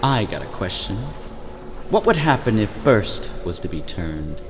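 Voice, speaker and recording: A man acts out a plain-spoken vampire schemer with a lowish voice. He is curious and casual, talking very slowly. Long reverb hangs on this fantasy drama recording. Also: sing-song. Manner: casual, questioning. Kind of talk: scripted fantasy voice acting. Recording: long reverb, clearly noisy background.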